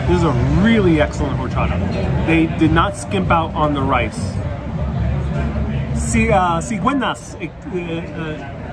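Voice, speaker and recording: A man from New York with a New York accent, hosting a live street vlog outdoors in a city, chatting upbeat and reading viewer comments aloud, with a clip-on wireless mic. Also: fairly high pitch. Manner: chatty and upbeat, switches between English and Spanish. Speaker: travel vlogger, live-stream host. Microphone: wireless clip-on mic on a phone gimbal. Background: loud music.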